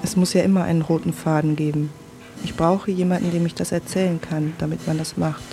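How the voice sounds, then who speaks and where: Mellow, reflective voice over faint noise. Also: mid-range voice, flat delivery, fairly quick.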